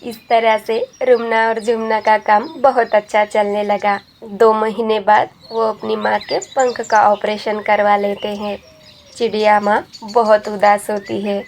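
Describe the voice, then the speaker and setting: A woman narrating a cartoon story in a measured, storybook manner, then addressing viewers. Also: mid-range voice, little pitch movement, a little hiss or hum.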